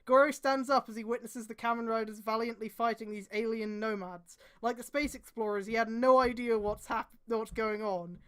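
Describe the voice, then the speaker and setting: A woman's voice: fairly high pitch, fairly even intonation, normal speed, few pauses, a bit bright, smooth. A woman streamer reading aloud and adding exasperated, sweary mocking commentary.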